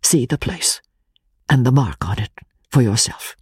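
A man playing an anguished, honour-bound foreign gentleman who makes a fearful confession in a hushed, pleading voice, in a dramatic audiobook reading. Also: mid-range voice, expressive, unhurried, slightly rough, no echo, clean studio sound. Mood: hushed, grave. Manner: hushed, emphatic, solemn pleading.

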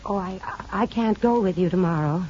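An American woman playing an anxious mother longing for her child, earnest and halting, in 1950s radio drama style. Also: mid-range voice, darkish tone, close to an everyday voice. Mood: flustered. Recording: muffled, noisy old radio broadcast.

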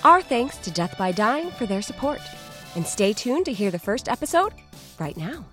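A woman presents a podcast promo with mock-solemn melodrama. Her voice is bright and very animated, with playful theatrical swings over faint music. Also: on the high side, moderate pace.

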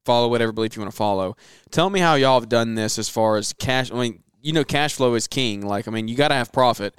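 A man's voice: mid-range voice, fast, clean tone, no echo. A man hosting a casual interview, chatty and agreeable, asking questions in a warehouse. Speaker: podcast host, interviewer.

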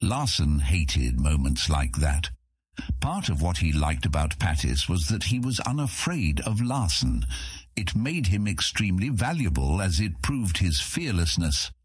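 A man's voice: sits low, big pitch swings, unhurried, breathy edge, no room sound. A man reading scripted dramatic narration for a fantasy audiobook.

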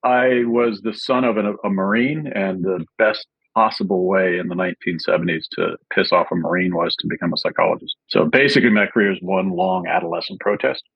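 A man with a very low, husky voice talks quickly and wryly about his career as a guest psychology professor. The sound is dry and dull, like a lo-fi remote call. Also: no room sound. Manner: dry wry humor, quick and self-deprecating. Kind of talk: interview answers, anecdotal.

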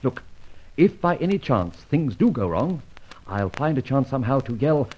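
Dashing adventurer with animated delivery. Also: male voice, mid-range voice, moderate pace, slightly rough, highs cut off. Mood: brisk, confiding. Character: dashing gentleman adventurer, cool, wry, self-assured. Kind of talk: 1940s-50s radio adventure serial acting, first-person narration and dialogue.

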